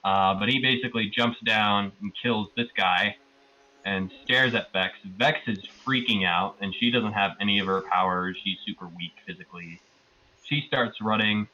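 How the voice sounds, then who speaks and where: A man with a fairly deep but sharp-edged voice retells a roleplaying session as a player. He rambles casually and sounds amused. The online voice-chat audio is a little muffled. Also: steady tone, moderate pace. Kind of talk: casual recap of a tabletop game.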